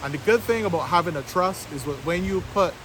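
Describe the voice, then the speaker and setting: A man in his fifties giving an informal explanatory talk to camera outdoors, speaking deliberately in short, halting phrases. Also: high-pitched, animated. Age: 50s. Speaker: vlog host, business owner. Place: outdoors by the sea, west coast of Barbados. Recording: loud wind noise on microphone.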